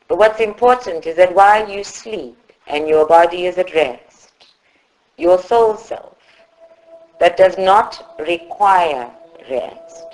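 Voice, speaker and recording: A woman from South Africa leading a guided meditation in a soothing, instructive manner, with long pauses between short phrases. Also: fairly low pitch, darkish tone, low-quality recording. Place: live group meditation session at a centre.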